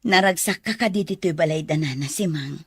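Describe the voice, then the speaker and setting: A woman's voice: sits low, fairly quick, slightly rough. A woman playing a remorseful, worried mother in an Ilocano radio drama, tender and emotional. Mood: tender, concerned. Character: remorseful, worried mother visiting her son.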